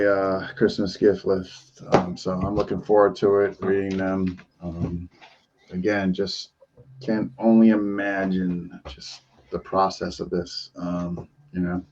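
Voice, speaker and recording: A man hosting an online interview show, enthusiastic and quick to repeat words of praise. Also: on the low side, dark tone, muffled recording, slight background noise. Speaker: podcast host.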